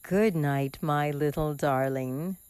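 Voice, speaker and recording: Woman playing a mellow, doting farm mother. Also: deep voice, slow pace, clear, resonant tone, no echo. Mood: tender. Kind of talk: horror radio drama acting.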